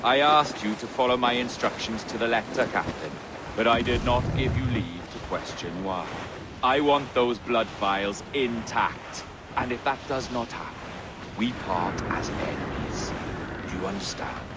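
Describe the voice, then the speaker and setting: A man playing a haughty, philosophical older pirate captain in a video game, speaking in a measured, theatrical, pompous way. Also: mid-range voice, animated, heavy background noise, natural voice. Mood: stern. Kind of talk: video game cutscene dialogue. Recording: loud music behind the voice.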